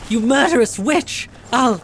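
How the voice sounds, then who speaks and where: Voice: mid-range voice, animated, measured pace, noisy background. An actor playing a cornered teenage bully in an audio drama, pleading and spitefully accusing. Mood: desperate, enraged.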